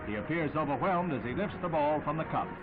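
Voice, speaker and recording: A man's voice: on the high side, animated, quick pace. An American man narrating a 1960s newsreel in a dramatic, punchy announcer style. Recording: tinny vintage newsreel soundtrack, loud music.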